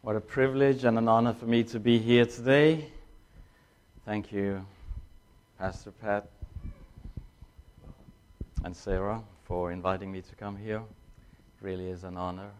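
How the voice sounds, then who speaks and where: An older man with a Danish accent, a guest speaker telling his testimony in a conversational sermon and slowing down to read scripture. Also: on the low side, steady tone, a little mellow, clean tone. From born in China to Danish parents, raised in Tanzania.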